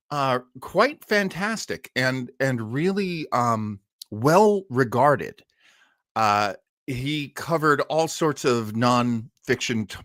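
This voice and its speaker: Man, show host, casual rambling intro, chatty, enthusiastic, mid-pitched, dry close recording. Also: fairly bright tone, no echo. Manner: chatty, enthusiastic about old radio stories. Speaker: host of an old-time radio show stream.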